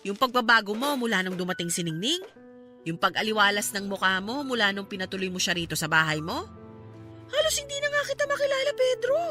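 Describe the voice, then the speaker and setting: A woman's voice: on the high side, bright, forceful tone. A woman with a Filipino accent acting in a radio drama as a caring, outspoken friend and mother, emphatic and coaxing.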